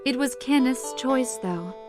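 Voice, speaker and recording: A woman's voice: sits high, animated, a little slow, over music. A woman playing a caring, worrying but firm motherly wife in an audio drama. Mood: worried.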